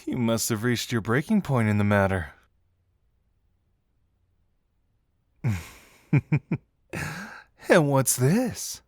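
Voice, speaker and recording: A man's voice: mid-range voice, big pitch swings, slow pace, no echo, studio quality. Man playing a playful detective with swooping intonation. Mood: curious, amused. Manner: teasing, theatrical, musing hums and soft chuckles. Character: playful, teasing young male detective agency colleague. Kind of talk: audio roleplay acting addressed to the listener.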